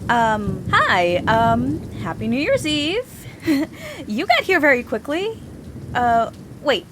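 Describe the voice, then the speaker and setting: A woman's voice: a little high, sing-song, slow, noisy background. A woman playing a flustered, bossy young sitcom actress in a comedic audio drama, awkward and emphatic.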